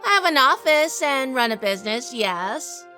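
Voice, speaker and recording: A sassy, poised noir businesswoman, acted by a woman in an audio drama. She is wry and unflappable, with a high, bright voice that moves in lively swings. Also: normal speed, faint music behind, exaggerated voice. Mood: cool, challenging. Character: sassy, composed noir businesswoman telling her story.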